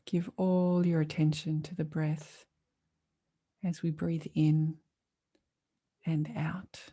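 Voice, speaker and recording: A woman leading a guided meditation as a teacher, speaking in a calm, soothing, measured way with long pauses. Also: deep voice.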